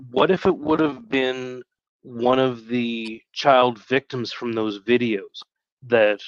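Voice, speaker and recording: A man with a mid-pitched voice talks casually on a live stream. He is halting and rambling, often correcting himself as he goes. The sound is dry and a little dull and lo-fi. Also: no echo.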